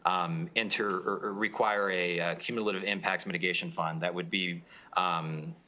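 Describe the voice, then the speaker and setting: A young man, a legislator, explaining his bill to a committee in a halting way with many fillers. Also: sits low, steady tone, sharp tone. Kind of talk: testimony at a committee hearing.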